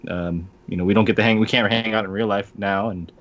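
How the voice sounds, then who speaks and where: A man with a somewhat low voice co-hosts a live stream over a video call. He speaks in a relaxed, joking way. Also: fast, dull audio. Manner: relaxed, joking, reads out the chat. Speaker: co-host. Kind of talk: casual chat on a live stream.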